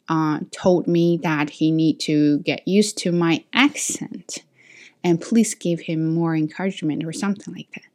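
A woman hosts a relaxed solo podcast, speaking English as a second language. Her voice sits a little low, and she thinks out loud with frequent fillers and self-corrections. The sound is picked up by her camera's microphone. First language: not English. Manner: thinking aloud, many fillers and restarts. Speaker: podcast host and teacher. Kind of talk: casual solo podcast talk. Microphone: camera mic.

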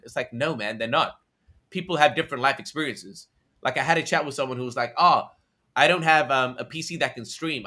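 A young man from Australia talks as a guest in a casual conversation, his voice bright and forward. He rambles with slang and side tangents, energetic and loose. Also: medium pitch. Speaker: podcast guest.

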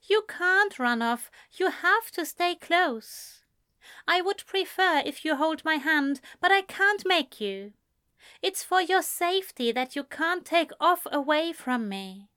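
A woman reading a patient, gently firm male uncle who speaks in a measured tone. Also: very high-pitched, animated, voice pushed up, full character voice. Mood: gentle firm. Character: patient, measured middle-aged male guardian.

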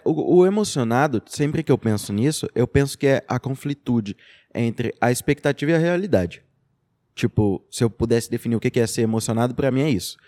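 A man's voice: medium pitch, dark tone, no room sound. A young man with a Brazilian Portuguese accent, co-hosting a casual podcast and talking loosely with lots of slang and fillers. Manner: loose and talkative, with slang and frequent "tipo" and "sabe". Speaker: podcast co-host. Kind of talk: casual chat.

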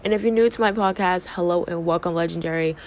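Young woman, gossip podcast host, low-ish voice going hoarse, chatty and filler-heavy. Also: fast, darkish tone, slight background noise. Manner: chatty, fillers like "like" and "you know". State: losing her voice. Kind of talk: casual entertainment gossip commentary.

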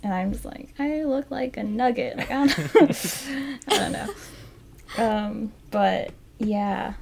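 Woman, podcast co-host, casual chat, giggly, filler-heavy, gravelly edges, slightly high voice. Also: slow pace, background noise.

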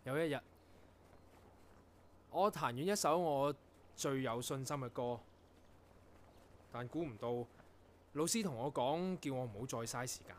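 A man acting in a Cantonese radio drama as an earnest, proud young composer, telling things in a reflective, measured way. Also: medium pitch, slight background noise, natural voice. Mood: reflective, subdued. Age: young adult.

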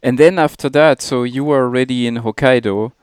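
A male podcast host with a clear, smooth voice in a dry recording space. He asks casual questions in a rambling way, restarting his phrases as he goes. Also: mid-range voice, steady tone, brisk, no room sound.

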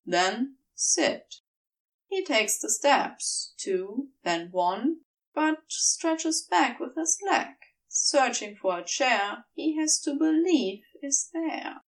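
A woman reads fiction aloud, set slightly back from the microphone. Her high voice moves with lively, expressive swings of pitch, while the delivery stays slow and measured, like careful audiobook storytelling. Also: recorded from a distance, rough recording. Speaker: fan fiction audiobook reader. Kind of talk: scripted story narration.

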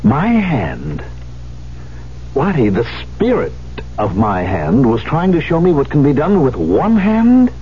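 An actor playing an intense, brooding middle-aged concert pianist in a theatrical 1970s radio drama style. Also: male voice, medium pitch, huge pitch swings, slow. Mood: brooding, wondering. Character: brooding veteran concert pianist, obsessed and musing. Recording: muffled, noisy 1970s radio recording.

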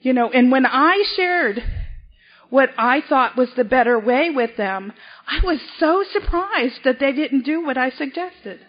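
High-voiced woman telling her story. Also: lively intonation. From South Boston, Massachusetts. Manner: self-deprecating, halting, frequent restarts. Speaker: AA convention speaker. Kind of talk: personal recovery story at a podium. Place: large convention hall. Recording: dull lo-fi convention recording.

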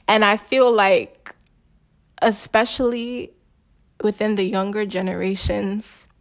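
A young woman telling a personal faith testimony in a chatty, slangy way, repeating phrases for emphasis and preaching casually as she goes. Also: mid-range voice. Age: young adult.

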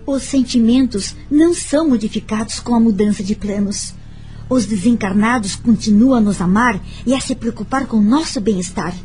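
An actress playing a reflective, forgiving woman telling her story in a radio drama, earnest and measured. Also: on the high side, mellow, lightly acted. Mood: serene, earnest. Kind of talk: radio drama acting with narration.

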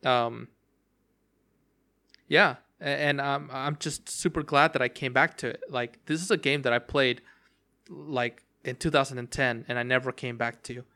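Rambling young man with a bright voice. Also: medium pitch, fairly even intonation, a little slow. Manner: rambling, many fillers and restarts. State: voice cracking from long talking. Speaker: podcast host. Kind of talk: casual solo game talk.

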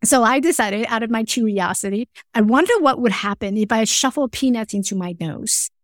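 A woman's voice: fairly high pitch, no echo, clean studio sound. A woman from Taiwan, a podcast guest telling her life story earnestly, restarting phrases as she goes. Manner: earnest, halting, with frequent restarts and small grammar slips. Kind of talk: personal storytelling in conversation.

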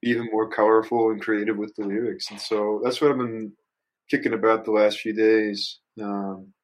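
A man's voice: fairly low pitch, level tone, normal speed, poor audio. A man in his early twenties chatting casually and reflectively as a musician guest, rambling thoughtfully with youthful slang. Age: early 20s. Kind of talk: casual reflective interview chat.

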